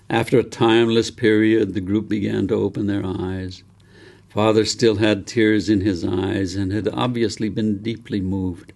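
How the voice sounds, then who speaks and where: A man reading a novel aloud in a measured, deliberate storytelling manner. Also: lowish voice, vocal fry, darkish tone. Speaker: author reading from his novel. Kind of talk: scripted audiobook narration.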